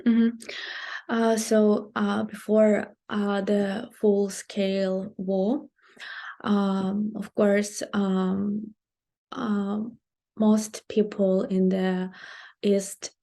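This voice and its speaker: A Ukrainian-accented woman speaks slowly in a low, flat, mellow voice. She searches for her words, filling gaps with soft ums and uhs. Her English is careful and unhurried, in a relaxed interview. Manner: hesitant, many fillers and pauses. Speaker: guest, Ukrainian language teacher. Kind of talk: casual interview answers.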